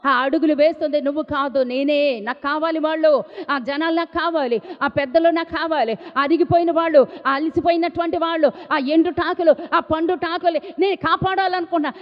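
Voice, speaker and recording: A woman preaches with fervour, hammering each point through repetition. Her voice sits very high. The recording is muffled and carries a long hall reverb. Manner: impassioned, repetitive preaching cadence. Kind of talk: sermon. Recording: dull, echoey hall sound.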